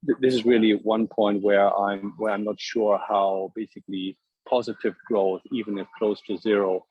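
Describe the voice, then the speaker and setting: A man taking part in a conference call, politely asking an economics question in a hesitant, halting way. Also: fairly low pitch, fairly even intonation, slightly rough. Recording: dull, lo-fi conference line.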